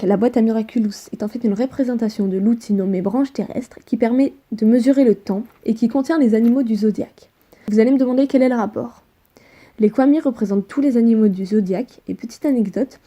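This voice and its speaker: A woman's voice: on the high side, a little fast, dark tone. A woman co-hosting an online fan theory video, explaining and bantering with a partner.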